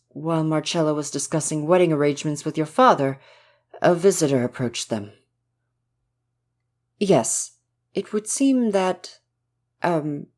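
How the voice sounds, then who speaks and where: A man's voice: a little high, a little mellow. Man acting a proper, discreet royal butler. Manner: formal, polite, hesitant. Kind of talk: ASMR audio roleplay acting.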